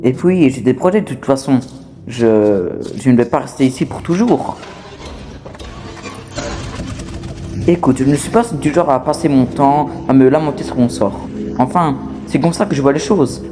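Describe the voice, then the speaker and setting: A man's voice: medium pitch, normal speed, noisy background, natural voice. A man voicing a scrappy, talkative toy outcast, wary but scheming, in a fan dub of a horror game. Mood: wistful, defensive. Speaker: amateur voice actor dubbing a game character.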